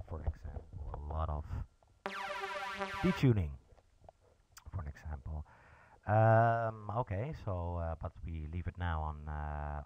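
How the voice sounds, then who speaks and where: A man with a low voice and a Dutch accent talks through a casual tutorial. He is laid-back and hesitant, rambling from point to point. The recording is dull and lo-fi, like a simple home setup. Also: a little hiss or hum. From Netherlands (Amsterdam). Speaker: music production tutorial host. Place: indoors at a computer, voice over screen recording.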